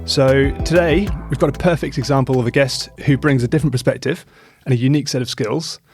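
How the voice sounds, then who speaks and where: A man's voice: mid-range voice, fast talker, faint music behind. A man hosting a podcast, chatting informally and asking loosely phrased questions. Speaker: podcast host. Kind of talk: casual interview chat.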